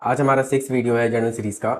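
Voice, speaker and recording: An Indian man gives a lesson in a medium-pitched, slightly hoarse voice. He explains like a teacher, one step at a time, and often poses a question before answering it. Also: little pitch movement, quick pace, a little mellow. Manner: step-by-step, checks in with rhetorical questions. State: slightly hoarse, sore throat. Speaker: accountancy teacher. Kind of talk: explanatory lesson mixing Hindi and English.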